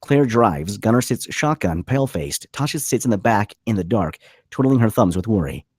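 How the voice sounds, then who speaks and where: A man's voice: on the low side, animated, normal speed, a little mellow, breathy edge, dry recording, clean studio sound. A man reading the stage directions as scripted narration at a screenplay table read.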